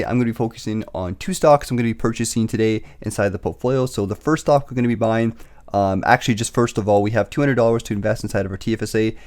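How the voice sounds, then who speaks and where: Fast-talking raspy young man, still sick. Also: lowish voice, darkish tone. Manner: quick, filler-heavy talk. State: recovering from illness, slight cough. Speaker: investing YouTuber. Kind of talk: casual portfolio update. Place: bedroom, indoors. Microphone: desk microphone on boom arm.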